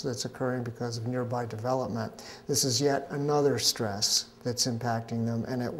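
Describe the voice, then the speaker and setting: A middle-aged man, a scientist being interviewed at a conference pavilion, explaining in a measured way with frequent hesitations. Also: mid-range voice, slight background noise. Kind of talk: interview answers.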